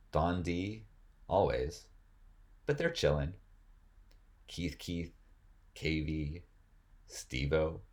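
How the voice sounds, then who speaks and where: A man's voice: rumbling low, a little mellow. A man reading rap lyrics aloud as spoken word, as host of the reading.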